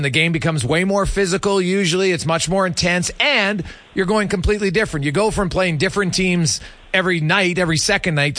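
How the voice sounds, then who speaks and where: A male sports radio host with a forceful, bright and fairly high voice. He is chatty and roams through long questions, recorded close and dry in a studio. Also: dry recording. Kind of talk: conversational sports interview.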